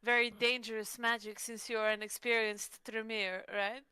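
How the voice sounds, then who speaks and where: A woman's voice: a little high, flat delivery, normal speed, pushed and bright, smooth, no echo. A woman chatting casually as a player in a tabletop roleplaying game stream. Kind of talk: casual in-character game chat.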